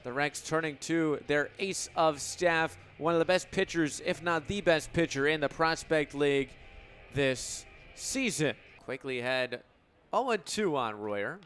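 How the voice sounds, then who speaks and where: A man's voice: fairly high pitch, a little slow, fairly bright tone, soft background music. A man calling baseball play-by-play for radio in punchy, clipped phrases that swell on big plays. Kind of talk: live sports play-by-play commentary.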